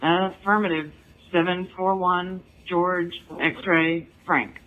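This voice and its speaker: A man's voice: sits high, little pitch movement, takes it slowly. An American man working as a police dispatcher, reading out records procedurally over the radio. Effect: police radio transmission. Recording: narrow phone-like police scanner radio.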